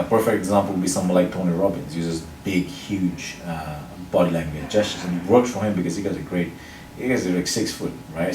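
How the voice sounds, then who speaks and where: A man from Sri Lanka, a public speaking champion, answering interview questions in a measured, explanatory way, with a mostly neutral international accent. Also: low-pitched, a little mellow. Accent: neutral international English, faint Sri Lankan. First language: Sinhala or another Sri Lankan language. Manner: measured, explanatory, builds points in lists. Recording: roomy echo, mic at a distance, faint noise.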